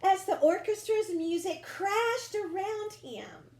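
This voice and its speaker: A woman reads a children's picture book aloud in a high, lively voice that rises and falls. She lingers on vivid words with dramatic, deliberate phrasing. The room gives her voice a noticeable echo. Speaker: storybook reader.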